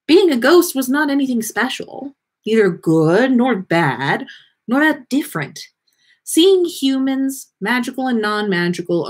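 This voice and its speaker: Animated, slightly husky woman reading a story. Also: a little high. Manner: animated, theatrical emphasis with occasional stumbles. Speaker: podcast host reading listener fan fiction aloud. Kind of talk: expressive story reading on a livestream.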